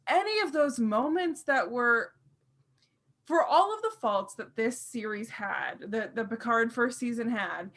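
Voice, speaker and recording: A woman's voice: a little high, expressive, a little slow, a bit bright. A woman co-hosting a podcast, speaking in casual roundtable conversation with an earnest, thoughtful and emphatic manner. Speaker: podcast co-host.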